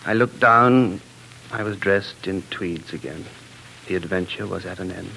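An actor playing an anguished, passionate time traveler in love, in theatrical 1940s radio drama style. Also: male voice, sits low, a little slow, vocal fry, sharp tone, a little hiss or hum, full character voice, low-quality recording. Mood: somber, reflective. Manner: impassioned, theatrical.

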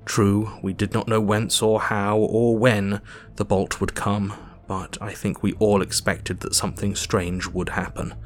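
A man playing a grave Victorian doctor narrating his diary in a measured, literary way for an audio drama. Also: lowish voice, level tone, a little hiss or hum, natural voice. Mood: solemn, reflective.

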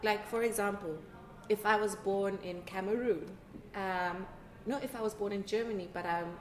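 A woman from South Africa, a guest artist in casual conversation, telling lively stories and acting out what others said. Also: mid-range voice, normal speed, a little hiss or hum. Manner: animated storyteller, acts out quoted lines. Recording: roomy, faint ambience.

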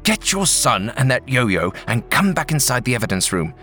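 A man's voice: medium pitch, big pitch swings, moderate pace, pushed and bright, faint music behind. A man doing a curt commanding official. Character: stern commanding male religious official.

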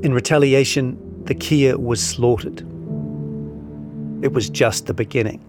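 A man's voice: medium pitch, unhurried, over music. A man giving a scripted narration of a long-form article.

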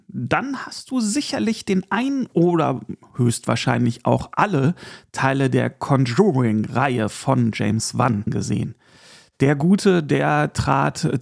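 Male podcast host, gravelly fry in a mid-pitched voice, chatty and informal solo commentary. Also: a little mellow. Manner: chatty, informal, addresses listener directly. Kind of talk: casual solo news and review commentary.

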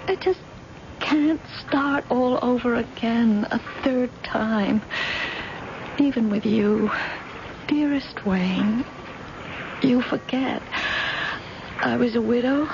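Scared unhappy rich wife in an old radio play, higher voice, halting and pleading, dull hissy broadcast recording. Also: female voice. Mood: anguished, bitter. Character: frightened, unhappily married wealthy woman meeting her secret lover. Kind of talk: old-fashioned radio drama acting.

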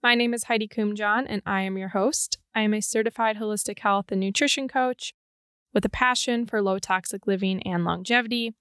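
A woman's voice: medium pitch, fairly even intonation, brisk, a bit bright, clean tone, no room sound, studio quality. A woman hosting a podcast, introducing her guest with upbeat enthusiasm. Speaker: podcast host.

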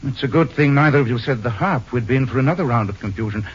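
Husky, wry gentleman sleuth played by an actor. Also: mid-range voice, quick pace, close to an everyday voice. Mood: weary, exasperated. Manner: dry, hesitant, wry delivery. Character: suave, sardonic, world-weary gentleman sleuth. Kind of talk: 1940s-50s radio detective acting. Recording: muffled old-time radio recording.

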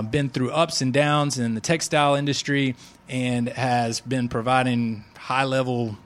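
A man's voice: mid-range voice, fairly bright tone. A man of forty hosting a sports podcast, joking and chatty, often restarting his sentences. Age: 40s. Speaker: podcast host. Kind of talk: casual sports podcast chat.

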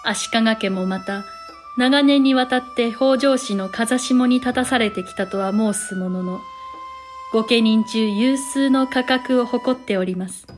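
A woman's voice: fairly high pitch, a little slow, soft background music, natural voice. A woman playing a composed storyteller of a samurai chronicle, narrating in polite, formal, old-fashioned phrasing. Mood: stately composure. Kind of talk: scripted period drama narration.

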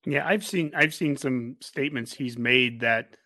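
Sports podcast host: American male, conversational, creaky voice, very dry recording. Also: medium pitch, unhurried, pushed and bright, dry recording. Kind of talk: casual sports talk.

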